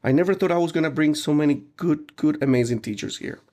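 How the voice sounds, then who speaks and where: A man's voice: a little high, fast talker, a little mellow. Enthusiastic, jokey male podcast host. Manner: enthusiastic, jokey, laughs often. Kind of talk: casual podcast hosting.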